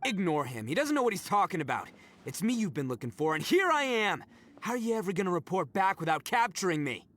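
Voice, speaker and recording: An actor playing a brash, boisterous young male oni leader who talks loud and teasing, in a video game. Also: high-pitched, sing-song, fast, noisy background. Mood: cocky.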